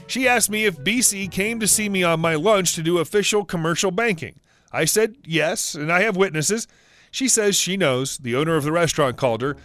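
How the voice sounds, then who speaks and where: A man giving a scripted narration of online stories as the channel's narrator, reading in an even, steady way. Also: high-pitched. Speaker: story narrator.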